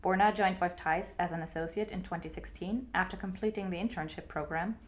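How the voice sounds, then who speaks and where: Low-voiced woman narrating a podcast intro. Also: a little fast. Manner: clear, steady reading. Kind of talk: scripted narration. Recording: faint music behind.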